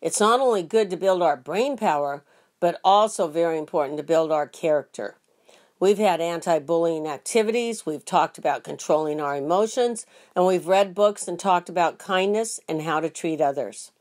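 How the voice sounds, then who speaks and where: A woman, a teacher, reading aloud to children in an expressive storybook style. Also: fairly low pitch, normal speed.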